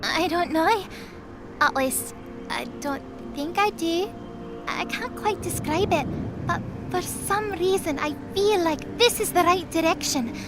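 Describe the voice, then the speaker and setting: A woman voicing an anxious, earnest and excitable young winged goddess girl in an audio drama. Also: very high-pitched, unhurried, over music. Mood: hesitant, earnest.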